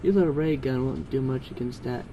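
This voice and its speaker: A man's voice: medium pitch, brisk, lo-fi sound, noisy background, close to an everyday voice. A man voicing a brash, combative teenage mutant turtle ninja in an amateur fan fiction reading. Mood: brash warning.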